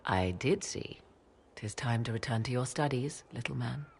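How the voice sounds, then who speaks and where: An actress voicing a mysterious, secretive mage mother in a video game, speaking in a measured, formal, archaic way. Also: very deep voice, creaky, a little hiss or hum. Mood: grave. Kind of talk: video game cutscene dialogue.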